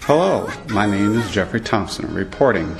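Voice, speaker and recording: Low-voiced man reading a scripted report. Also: expressive, normal speed, creaky, over music. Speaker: student reporter and narrator. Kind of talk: scripted narration.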